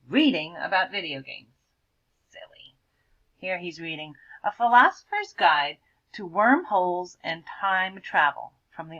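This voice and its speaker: A woman reads a picture book to children in a bright voice with lively, swooping pitch. She stretches words for effect and slips into hushed asides to explain things, like a schoolteacher. The recording is slightly muffled. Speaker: elementary school teacher.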